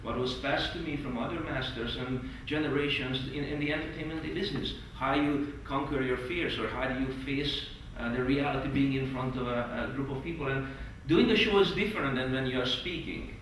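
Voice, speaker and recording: A man with a Hungarian accent teaching a stage hypnosis course to a group, earnest and eager, with non-native phrasing and lively emphasis. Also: medium pitch, brisk, hardly any pauses, a little hiss or hum. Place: large hotel meeting room. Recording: echoey hall recorded from far off.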